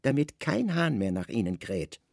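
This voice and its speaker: A middle-aged Roman governor in a radio drama, stern and slyly plotting. His voice is creaky and rough, closely and dryly recorded. He speaks with measured authority and insinuation. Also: mid-range voice, sing-song, a little mellow, no echo, clean studio sound. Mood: commanding. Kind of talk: radio play dialogue.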